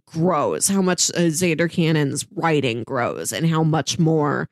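A woman's voice: low-pitched, slow pace, no echo, clean studio sound. A woman co-hosting a casual podcast about comics, talking thoughtfully and fluently. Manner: thoughtful, fluent, frequent "yeah" backchannels. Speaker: podcast co-host. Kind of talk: casual comic-book discussion.

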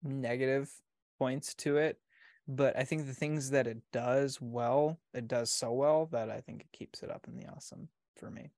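A man's voice: mid-range voice, steady tone, moderate pace, a little mellow, dry recording, clean studio sound. A man co-hosting a casual podcast, easygoing and teasing, laughing often and hedging with fillers.

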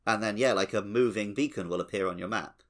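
A man's voice: on the low side, fast talker. A man co-hosting a podcast, chatting casually about a video game playthrough. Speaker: podcast co-host.

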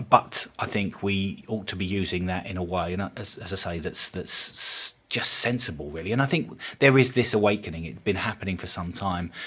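A man's voice: sits low, a bit bright, breathy edge. A middle-aged man from England, a guest in a casual interview, talking earnestly and often repeating words as he gathers his thoughts. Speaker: podcast guest, business consultant.

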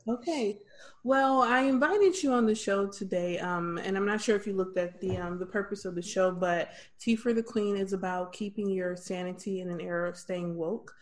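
A young American woman hosting a podcast, speaking softly with many ums and restarts in a casual interview chat. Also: mid-range voice, moderate pace. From Michigan, USA. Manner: soft-spoken, with frequent ums and restarts. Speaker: podcast host.

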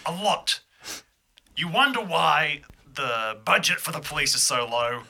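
A man playing a bumbling, breezy, forgiving police chief in an improvised audio drama, talking in a halting, distracted ramble. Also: a little high, animated, gravelly, bright, forceful tone, exaggerated voice. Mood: breezy, encouraging.

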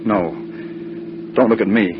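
A man's voice: fairly low pitch, unhurried, natural voice. A muffled, noisy vintage radio recording of a man an actor as a lovestruck army flier. He is earnest, blunt and insistent, in period drama style. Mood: earnest, hesitant. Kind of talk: old-time radio drama acting.

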